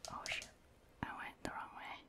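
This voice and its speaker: Whispering woman doing ASMR gameplay. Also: moderate pace. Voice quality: soft breathy whisper. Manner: casual, murmured play-by-play in short remarks. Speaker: video game streamer. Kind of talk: ASMR game commentary.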